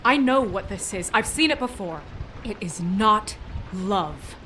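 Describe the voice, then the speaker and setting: A woman playing a stern, principled authority figure in a video game, speaking in a firm, measured, deliberate way. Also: medium pitch, expressive, bright, forceful tone, soft background music, low-quality recording. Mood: cold, resolute. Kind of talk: dramatic video game voice acting.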